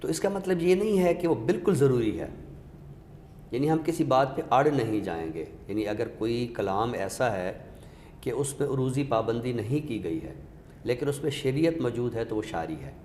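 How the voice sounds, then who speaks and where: A man with a Pakistani accent giving a deliberate, didactic explanation in a literary discussion, pausing for emphasis. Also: mid-range voice, animated, a little mellow. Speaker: literary scholar and guest speaker. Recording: echoey reverberant room with background noise.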